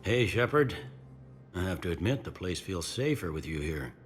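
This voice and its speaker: A man's voice: low-pitched, sing-song, normal speed, faint music behind. A man playing a weary, middle-aged security officer in a video game, plain-spoken and downbeat. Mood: weary, sombre. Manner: downbeat, matter-of-fact.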